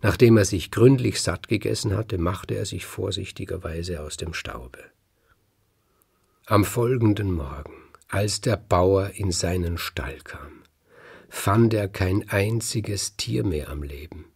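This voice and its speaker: Low, gravelly, unhurried male storyteller. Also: darkish tone. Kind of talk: storytelling, narrated folk tale.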